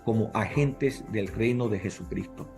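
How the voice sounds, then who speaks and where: A man's voice: fairly low pitch, normal speed. Man, middle-aged, native English speaker, earnest, emphatic, faint background music. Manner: earnest, emphatic, lists points with repetition. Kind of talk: interview answers.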